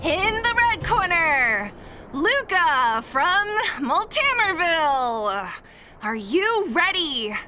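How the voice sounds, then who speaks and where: A woman's voice: very high-pitched, sing-song, slow, bright, forceful tone, slight background noise, exaggerated voice. An actress playing an exasperated, no-nonsense young female fighter in a video game, blunt and dry in manner. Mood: announcing, firm.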